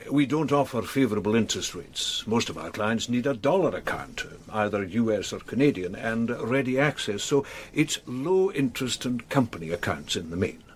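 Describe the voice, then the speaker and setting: An actor playing a prim, talkative bank manager in a radio drama, explaining things in a measured, businesslike way. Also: male voice, mid-range voice, a little hiss or hum, lightly acted.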